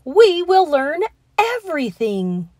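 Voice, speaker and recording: A woman's voice: high voice, big pitch swings, darkish tone, no room sound, studio quality, voice pushed up, exaggerated voice. A woman voicing a proud, exuberant male school principal from a children's storybook, effusive and emphatic. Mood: proud, delighted. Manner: emphatic, effusive, drawn-out stresses. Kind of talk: children's storybook character reading.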